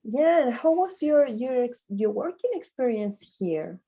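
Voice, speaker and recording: A woman hosting an interview in English, asking halting questions full of ums. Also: a little high, sing-song, mellow, husky. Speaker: host. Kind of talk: casual interview.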